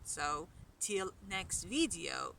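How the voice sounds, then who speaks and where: A woman in her thirties with a Persian accent, talking to camera outdoors as a language teacher, unhurried and with hesitant fillers. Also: fairly low pitch, big pitch swings, creaky, sharp tone, a little hiss or hum. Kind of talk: casual spoken lesson to camera. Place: outdoors on a mountain hillside. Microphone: camera from a short distance. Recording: outdoor camera audio, a bit distant.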